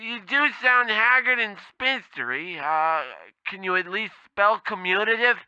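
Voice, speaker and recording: A clueless, tactless clerk played by a man in a radio comedy. His voice is high and piercingly bright, and he bumbles along haltingly and bluntly through slightly dull, lo-fi sound. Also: lively intonation, clear, resonant tone, no room sound, full character voice. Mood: bumbling. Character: clueless, tactless male temp agency clerk. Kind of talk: old-time radio comedy acting.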